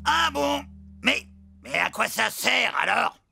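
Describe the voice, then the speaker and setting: An actor voicing a big, greedy, boisterous bear cub in a cartoon, gravelly and childishly demanding. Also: medium pitch, animated, takes it slowly, exaggerated voice. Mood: blithe. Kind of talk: animated cartoon acting.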